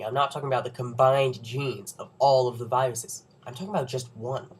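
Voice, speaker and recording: A young man with a cold and a congested voice, giving an informal science explainer with wry, nerdy, self-joking asides. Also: mid-range voice. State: under the weather with a cold. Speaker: presenter of an educational video.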